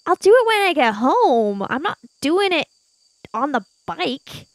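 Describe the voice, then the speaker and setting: A woman's voice: high-pitched, very animated, a little slow, no room sound, pitched above the performer's own voice, low-quality recording. A woman playing a bookish, argumentative schoolgirl in an improvised tabletop adventure, wordy and pedantic. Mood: indignant. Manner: pedantic, wordy, indignant when teased.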